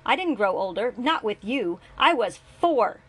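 A woman's voice: a little high, expressive, a little slow, a bit bright, voice pushed up, full character voice. A woman voicing a bitter, exhausted young man who confronts his father with mounting anger, in a read-aloud story. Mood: furious. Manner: sardonic, rising to anger.

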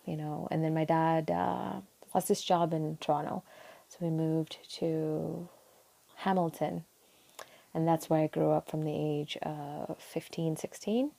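A young woman from Sri Lanka, speaking softly as an interview guest and telling her story with frequent pauses and ums. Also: low-pitched, fairly even intonation, gravelly. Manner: soft-spoken, frequent ums, meandering. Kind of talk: casual personal storytelling.

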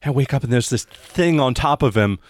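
A man's voice: mid-range voice, lively intonation, fairly quick, dry recording, pitched above the performer's own voice, close to an everyday voice. A man voicing a frightened young woman in a tabletop game, stammering and shaken as the character recounts an attack. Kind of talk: tabletop role-play acting.

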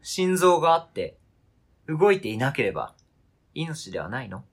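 A man's voice: mid-range voice, sing-song, normal speed. A man acting a stubborn, hurt partner in an amateur radio drama, questioning intensely and insistently. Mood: coldly accusing. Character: stubborn, hurt partner fiercely attached to an old toy.

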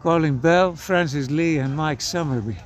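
A man in his seventies from Greece, a podcast guest telling stories in a measured, thoughtful way. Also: fairly high pitch. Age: 70s. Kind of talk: casual conversation.

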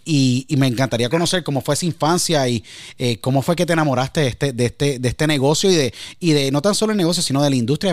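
A man's voice: medium pitch, no echo. Effusive male podcast host, dry close sound. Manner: effusive, repetitive, peppers Spanish with English words. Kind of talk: enthusiastic interview introduction.

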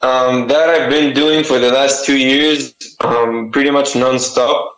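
A man's voice: mid-range voice, fairly even intonation, measured pace, fairly bright tone, roomy sound, poor audio. A young man with an Israeli accent, a native Hebrew speaker, answering interview questions about his art with many fillers and restarts. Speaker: artist and t-shirt designer.

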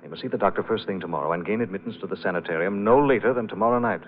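An actor playing a suave, confident gentleman sleuth in a 1940s radio drama. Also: fairly low pitch, rapid-fire, close to an everyday voice. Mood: coaxing, brisk. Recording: narrow-band old radio, faint noise.

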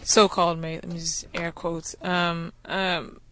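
A woman's voice: fairly low pitch, flat delivery, unhurried, a bit bright, a little hiss or hum. A woman in a comedy sketch asking skeptical, probing questions.

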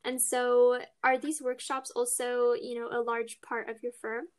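A woman's voice: on the high side, level tone, measured pace. A woman interviewer asking questions and praising her guest's work. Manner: admiring, encouraging.

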